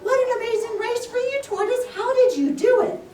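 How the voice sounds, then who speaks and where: Woman as puppet-show puppy, high-pitched, eager, admiring, exclaiming, echoey distant recording. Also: a little fast, warm tone. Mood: excited admiration. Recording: roomy, distant mic, faint noise.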